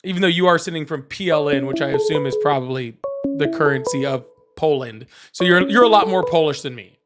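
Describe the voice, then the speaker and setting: A man hosting a casual political call-in livestream, animated and chatty, laughing often and checking in with "all right?" and "okay?" as he reads chat and argues with callers. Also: fairly high pitch, fairly quick. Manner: argumentative with callers. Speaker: livestream host.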